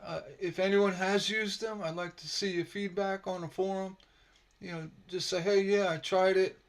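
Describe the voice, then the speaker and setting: A man teaching stained glass on a casual live stream, slightly hoarse from a sore throat, relaxed and rambling with many fillers. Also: high-pitched, moderate pace. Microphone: computer mic on a live stream.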